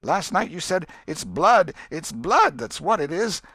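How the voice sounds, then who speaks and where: A man's voice: a little high, very animated, unhurried, a bit bright, no echo, clean studio sound, pitched above the performer's own voice, exaggerated voice. A man voicing a tattling boy who insistently mimics his brother's anguished sleep-talk in a storybook reading. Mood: accusing, urgent. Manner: insistent, accusing, exclaiming.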